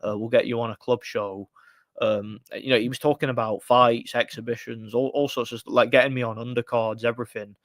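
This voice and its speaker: A man's voice: medium pitch, level tone, brisk, no echo. Blunt rambling man in his thirties. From United Kingdom. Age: 30s. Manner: rambling, frequent restarts and fillers; frustrated, blunt opinions. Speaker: livestream host. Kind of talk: casual live-stream boxing chat.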